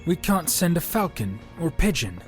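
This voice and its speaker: Higher-voiced, questioning male adventurer, put on. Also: sing-song, moderate pace, faint music behind. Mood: questioning, concerned. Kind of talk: fantasy audiobook character acting.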